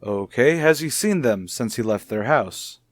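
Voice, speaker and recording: An actor playing a calm, formal male child psychologist in a vintage radio soap opera, questioning in a measured, deliberate way. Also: medium pitch, no echo, studio quality, close to an everyday voice. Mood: clinical, patient.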